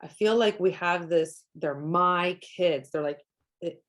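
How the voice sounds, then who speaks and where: A woman's voice: lowish voice, takes it slowly. A woman from Canada whose first language is Polish, talking earnestly in a casual conversation and thinking aloud with many restarts.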